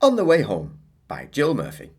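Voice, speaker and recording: A woman reading a children's story aloud in an expressive, deliberate way. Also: very deep voice, heavy background noise.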